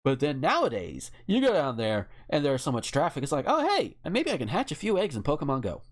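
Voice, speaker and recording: A man's voice: on the high side, wildly swooping pitch, fast. Rambling man chatting casually. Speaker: podcast co-host. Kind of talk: casual chat.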